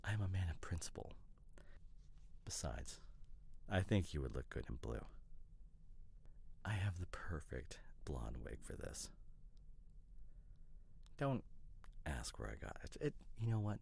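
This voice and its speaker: A man playing an opinionated, teasing boyfriend in an ASMR roleplay, chatting casually in a hesitant, rambling way with mock seriousness and a gravelly voice. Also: low-pitched, big pitch swings, a little slow, pauses often, a little mellow, full character voice. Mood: playful. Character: opinionated, teasing boyfriend debating couple's costume ideas.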